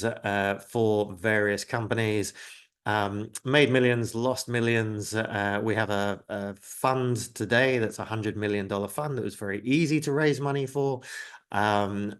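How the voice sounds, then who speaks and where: A man in his forties with a lowish voice who grew up in the UK presents a live webinar. He talks conversationally, with lots of "uh" and "um" and a self-deprecating streak. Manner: conversational, frequent filler words, self-deprecating.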